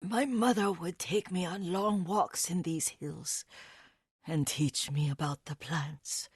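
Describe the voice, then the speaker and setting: An actress playing a frail, devoted elderly Japanese herbalist in a video game, speaking gently and wistfully with a husky, breathy voice. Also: female voice, on the low side, steady tone, no room sound, clean studio sound. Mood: frail, tender. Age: older. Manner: gentle, wistful, slow and halting. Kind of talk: dramatic video game voice acting.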